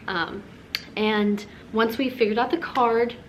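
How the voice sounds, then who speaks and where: A woman's voice: on the high side, takes it slowly. A woman in her twenties from the Czech Republic, telling a rambling, chatty story as a vlogger. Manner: chatty, rambling with fillers and restarts. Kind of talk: casual storytime. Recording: steady background noise.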